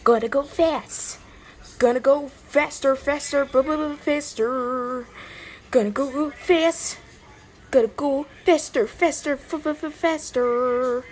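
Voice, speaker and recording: A woman's voice: high-pitched, animated, measured pace, few pauses, mellow, a little hiss or hum, pitched above the performer's own voice. A woman voicing a restless, eager speedster hedgehog in repetitive stuttering bursts, in an amateur skit. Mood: hyped, eager.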